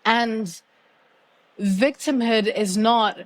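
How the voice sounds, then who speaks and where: A woman's voice: a little high, very slow. A young adult woman from Zimbabwe speaking candidly and reflectively as a guest in a live panel conversation on stage. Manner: earnest, candid, searching. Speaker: guest speaker, podcaster and author.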